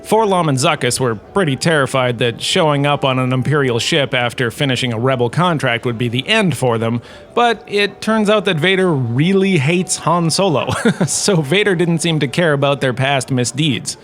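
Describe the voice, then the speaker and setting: A man playing a self-regarding, jokey professor giving a scripted comedic lecture with theatrical storytelling pauses. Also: fairly high pitch, lively intonation, fairly bright tone. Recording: clearly noisy background ambience.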